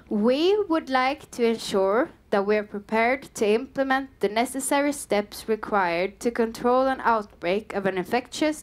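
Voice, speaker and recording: Young woman, southern Swedish accent, fairly high voice; relaxed meetup presenter on a headset mic, chatty with lots of fillers. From Sweden. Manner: chatty, frequent um and uh fillers, light self-deprecating humor. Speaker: content manager giving a meetup talk. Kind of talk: conference presentation. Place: dim meeting room with a small audience.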